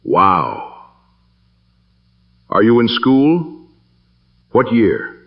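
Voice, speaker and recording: Man playing a wounded father, slow and emphatic. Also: fairly low pitch, wildly swooping pitch, vocal fry, muffled recording, pitched above the performer's own voice, exaggerated voice. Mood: restrained, careful. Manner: earnest, emphatic, measured. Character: wounded, steadfast estranged father defending himself.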